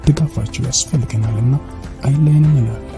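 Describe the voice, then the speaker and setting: A man's voice: mid-range voice, very fast. A man reading a scripted promotional voice-over for a media channel. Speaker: announcer. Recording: voice-over with music behind.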